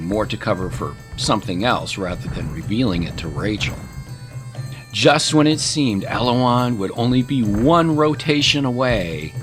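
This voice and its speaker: A man narrating a scripted fantasy story in a descriptive, literary style. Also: medium pitch. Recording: prominent music behind.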